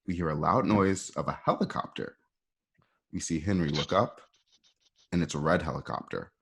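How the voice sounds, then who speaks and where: Low husky man narrating scenes. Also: moderate pace. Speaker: narrator of an amateur radio play. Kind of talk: reading screenplay scene descriptions, conversational.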